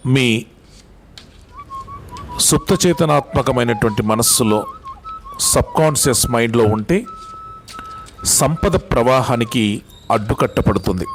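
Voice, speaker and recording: Slow-paced man guiding meditation over music. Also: medium pitch. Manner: calm, deliberate, instructing, repeats phrases with long pauses. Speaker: guided meditation instructor. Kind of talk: guided meditation. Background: music bed.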